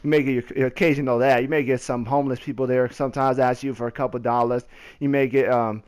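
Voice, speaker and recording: A man's voice: medium pitch, steady tone, fast talker, a little mellow, a little hiss or hum. A young American man telling a casual story over gameplay in a slangy, conversational way, talking straight to his listeners. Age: young adult. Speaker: gaming video storyteller.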